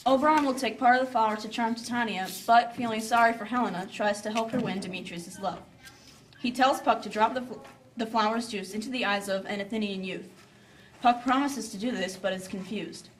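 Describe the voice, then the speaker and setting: A woman's voice: a little high, fairly quick. A woman narrating a story from a script, with playful asides. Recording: slightly distant, faint background noise.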